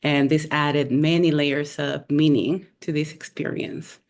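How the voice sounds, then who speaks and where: A woman from Honduras telling a personal story over a video call, in an unhurried way with small fillers. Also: low-pitched, fairly even intonation.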